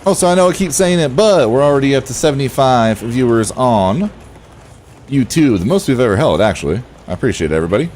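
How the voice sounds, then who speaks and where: A man with a relaxed voice, chatting casually to viewers as he streams a game and thinks aloud. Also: mid-range voice, a little fast, slight background noise. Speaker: game livestreamer. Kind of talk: casual livestream chat over gameplay.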